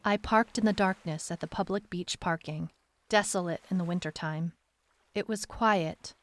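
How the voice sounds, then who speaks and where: A woman's voice: on the low side, moderate pace, lightly acted. A woman playing a determined detective who narrates her own case in a scripted audio drama. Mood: brooding, reflective. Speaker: lead narrator.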